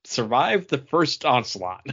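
A man's voice: mid-range voice, big pitch swings, slow, a bit bright, slightly rough. A man co-hosting a casual comic book review, talking emphatically in slangy, repetitive phrases. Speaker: co-host of a comics review show. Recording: dull lo-fi audio.

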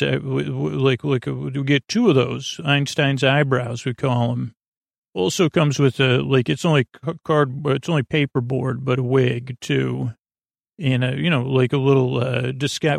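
A man hosts a sleep podcast in a gravelly, creaking voice at an average male pitch. He meanders from tangent to tangent, hesitating and gently putting himself down as he goes. The delivery is meant to lull, and the sound is very dry, with no room around it. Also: no room sound. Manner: meandering, hesitant, lulling, self-deprecating. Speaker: podcast host. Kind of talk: rambling, tangent-filled sleep podcast monologue.

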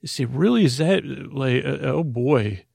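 A man with a creaky voice hosting a bedtime podcast, meandering informally and hesitantly through sidetracks and half-finished words. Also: medium pitch, animated, measured pace, a little mellow, no room sound. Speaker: podcast host.

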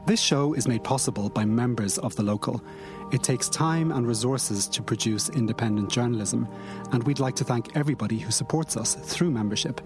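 A man hosting a news podcast, reading a measured scripted intro and then asking interview questions. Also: medium pitch, over music. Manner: measured, clear presenter delivery. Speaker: podcast host.

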